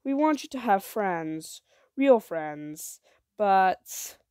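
Storybook dad, woman performer: wary and serious, measured delivery with a dry, sardonic edge. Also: mid-range voice, lively intonation, mellow, clean tone, no echo. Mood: reluctant. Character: cautious, dry-humoured father.

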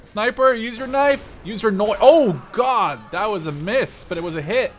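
Male gamer, twenties, excitable, very animated pitch, casual banter over loud-ish game gunfire. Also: normal speed. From Netherlands. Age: 20s. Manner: excitable, exclaiming and shouting. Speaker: gaming video host and player. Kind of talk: casual gameplay chat and banter. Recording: game gunfire and artillery noise behind. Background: gunfire and artillery from a war game.

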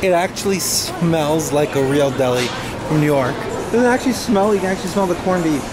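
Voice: medium pitch, lively intonation, a little fast, very noisy. A travel vlogger chatting casually and enthusiastically while showing the surroundings. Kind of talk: casual walk-around travel commentary.

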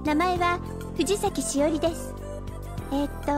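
A woman voicing a sweet, polite teenage girl with a gentle, cheerful manner in an audio drama. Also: very high-pitched, steady tone, unhurried, music in the background. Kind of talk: scripted Japanese anime-style audio drama acting.

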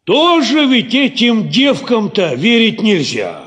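An actor playing a well-off peasant master in a Soviet radio play, deliberate, stern and admonishing. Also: male voice, sits high, wildly swooping pitch, a bit bright, full character voice. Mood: reasoning.

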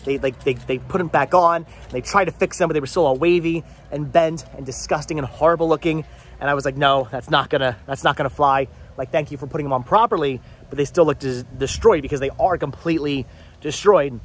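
A man in his thirties vlogging outdoors with the phone at arm's length, talking fast and rambling in an exasperated way, often repeating words. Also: on the high side. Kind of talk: frustrated life-update vlog. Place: outdoors in a house yard. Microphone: phone camera held at arm's length.

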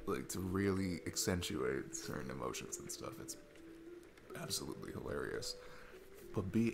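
A young man playing an awkward, chatty single dad on a date in an ASMR roleplay, rambling haltingly and self-deprecatingly. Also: low voice, gravelly, heavily acted. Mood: enthusiastic, amused. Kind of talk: ASMR boyfriend-style roleplay, casual improvised-sounding chat. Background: background music.

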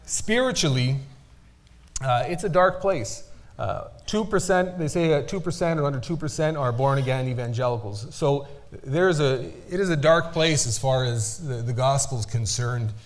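A man's voice: mid-range voice, normal speed. A middle-aged man giving a casual missionary report from a church pulpit, talking conversationally with frequent filler sounds. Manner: conversational, many "uh" fillers, gestures with hands. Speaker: missionary speaker. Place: church sanctuary at a wooden pulpit. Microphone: pulpit microphone, far camera.

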